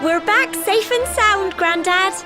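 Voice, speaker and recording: A very high, youthful voice, playing a spirited young village woman in a fantasy game. She speaks unhurriedly, earnest and affectionate, with breathy wide-eyed feeling, over game music. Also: slow pace. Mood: excited, wonderstruck. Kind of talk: video game voice acting. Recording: loud game music behind voice. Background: orchestral game music.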